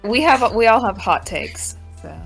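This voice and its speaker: A woman chatting casually as a podcast panelist, pausing hesitantly mid-sentence. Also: medium pitch, lively intonation, creaky, breathy edge, low-quality recording. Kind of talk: casual fan chat. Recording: music playing behind voice. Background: music.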